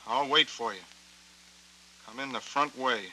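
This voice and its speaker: An actor playing a curt, shady barkeep in a 1940s film noir, on a humming vintage soundtrack. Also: male voice, mid-range voice, measured pace, bright, forceful tone, slight background noise, low-quality recording. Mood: guarded.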